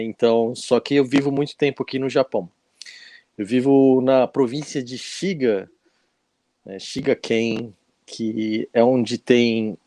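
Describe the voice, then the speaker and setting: A man in his forties from São Paulo with a São Paulo Brazilian accent, talking as a guest on a casual video-call live chat, friendly and unhurried. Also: medium pitch, dark tone, no room sound. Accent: Brazilian Portuguese, São Paulo. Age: 40s. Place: at home in Japan, morning.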